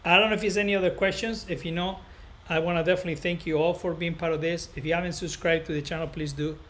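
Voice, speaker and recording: A man with a Spanish accent and a fairly high voice hosts a casual live stream for viewers. He talks in a rambling, halting way, circling back and repeating phrases. Clear background noise sits behind his voice. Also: fairly even intonation, a bit bright. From northern Spain. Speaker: barber educator and live stream host.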